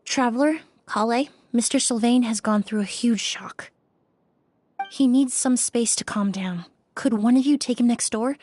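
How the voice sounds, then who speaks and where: A woman voicing a composed, courteous young investigator in a video game, speaking in a measured, precise and polite way. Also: fairly high pitch, darkish tone, no room sound. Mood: gentle, grave. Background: background music.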